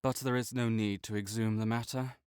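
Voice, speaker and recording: A man playing a sickly, proud and melancholy nobleman in an intimate audio roleplay, speaking formally with measured, old-fashioned phrasing. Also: fairly low pitch, fairly even intonation, a little mellow, clear, resonant tone, no echo, clean studio sound, lightly acted. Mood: melancholy, brooding.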